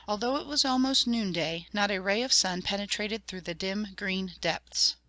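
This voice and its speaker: A woman's voice: medium pitch, moderate pace, pushed and bright, no room sound. A woman reading a children's fantasy storybook aloud as scripted, dramatic audiobook narration. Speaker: audiobook reader.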